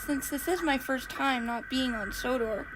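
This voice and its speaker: A woman voicing a sweet, eager and slightly timid female steam engine, polite and gentle, in a train story. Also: high-pitched, normal speed, noisy background, lightly acted. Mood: nervous.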